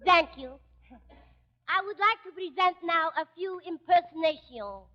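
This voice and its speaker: An actress playing a vain, melodramatic French femme fatale with a heavy accent and overwrought, broken English in a 1940s radio comedy. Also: female voice, extremely high pitch, measured pace, bright, forceful tone, heavily acted. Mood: grand. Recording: muffled old radio broadcast, reverberant hall.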